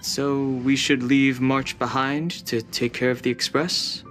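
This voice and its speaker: An actor as a calm, matter-of-fact young man. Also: medium pitch, slow, lightly acted. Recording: game cutscene with faint music.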